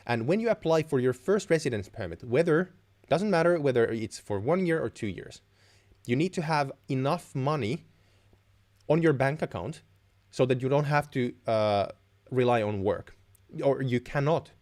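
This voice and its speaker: A man's voice: mid-range voice, animated, normal speed, a little mellow. Young man, Finnish accent, native Finnish speaker, live stream host, informal advice, explanatory, self-correcting. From Finland. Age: young adult.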